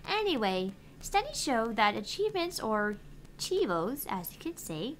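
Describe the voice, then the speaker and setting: A woman's voice: on the high side, very animated, unhurried, a little hiss or hum. A woman voicing a perky, reassuring female app assistant, reading game dialogue in a chirpy, encouraging, playful manner. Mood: chipper. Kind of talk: reading visual novel game dialogue aloud.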